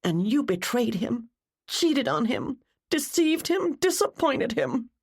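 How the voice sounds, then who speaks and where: A woman's voice: on the high side, sing-song, slow, vocal fry, husky, voice pushed up, full character voice. A woman voicing a distraught, tearful woman in an audiobook, sobbing and spitting out accusations. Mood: furious, anguished.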